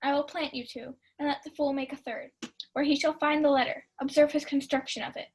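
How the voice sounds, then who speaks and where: A young woman playing a shrewd, mischievous household servant in a Shakespeare radio play, brisk and conspiratorial. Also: sits high, flat delivery. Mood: gleeful, conspiratorial. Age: young adult.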